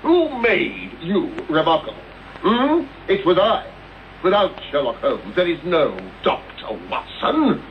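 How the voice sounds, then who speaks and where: Arrogant British sleuth, radio drama: lofty, rhetorical delivery in a raised register, with noticeable hiss. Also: male voice, high-pitched, huge pitch swings, a little slow, full character voice. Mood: insistent. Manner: pompous, precise, rhetorical. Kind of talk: radio play acting. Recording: dull, hissy old radio tape recording.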